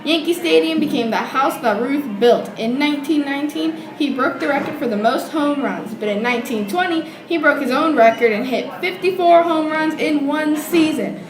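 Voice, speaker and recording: A woman's voice: high-pitched, moderate pace, hardly any pauses, crowd noise, poor audio. A young woman reading scripted newsreel narration as a student presenter. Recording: music playing under the voice. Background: clearly audible music.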